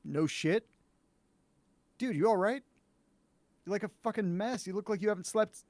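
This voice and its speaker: A man's voice: high-pitched, normal speed, darkish tone, dry recording, pitched above the performer's own voice, lightly acted. A man playing a casual, blunt young man who is concerned about his dishevelled friend in an informal script reading. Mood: concerned, uneasy.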